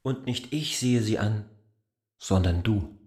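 A man's voice: on the low side, slow pace, darkish tone, breathy edge, no echo, clean studio sound. An actor playing a jealous, reproachful male lover who argues stubbornly in a literary radio play. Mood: accusing. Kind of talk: literary radio drama acting.

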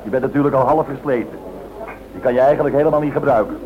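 An actor playing a blunt, bossy airline director in a radio play, curt and scolding. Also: male voice, mid-range voice, quick pace, close to an everyday voice. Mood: gruff, scornful. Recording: tinny band-limited old radio recording, hiss.

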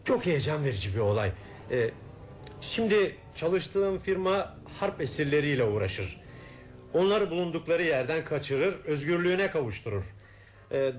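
An actor playing a shrewd, scheming male investigator in a Turkish radio drama, polite and guarded, with deliberate phrasing. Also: fairly high pitch. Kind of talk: Turkish radio theater acting.

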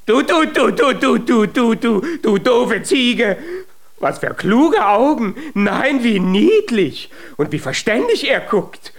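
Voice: medium pitch, sing-song, slow, gravelly, slight background noise, heavily acted. A performer playing a small eight-year-old giant boy in a children's radio drama, mocking, whiny and defiant.

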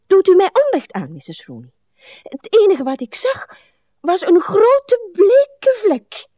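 An actress playing a prim, pious, put-upon spinster in a radio play, fussy and martyred, often hushed. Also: female voice, sits high, no echo, studio quality, exaggerated voice.

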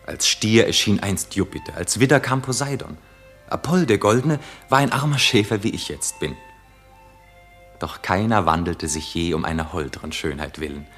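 An actor playing an ardent, carefree young prince in love in a classical German radio drama. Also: male voice, sits low, animated, moderate pace, a bit bright, faint music behind.

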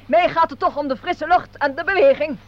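A woman's voice: high voice, fairly quick, lightly acted. An actress playing a chatty, fussy, complaining lady in a radio play, on a very tinny phone-like recording. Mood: flustered. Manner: fussy, exclaiming. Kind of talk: Dutch radio play acting.

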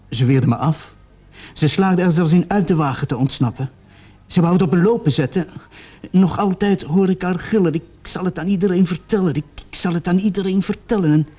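An actor playing a distraught young man in a radio play, confessing haltingly and repeating his words in agitation. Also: fairly high pitch, dark tone, breathy edge. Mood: remorseful, shaken, then agitated, panicky.

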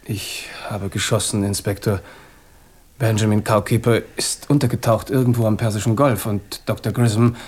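An actor playing an evasive, polite schemer cornered by police in a German radio crime drama, formal and defensive. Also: male voice, on the low side, fairly even intonation, normal speed, a little mellow, slightly rough. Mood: resigned, formal.

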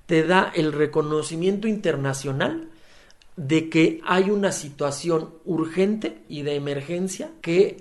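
A man answering an interview question, pausing often with fillers and restarts. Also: fairly high pitch. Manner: hesitant, many fillers and restarts. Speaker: human rights advocate interviewed.

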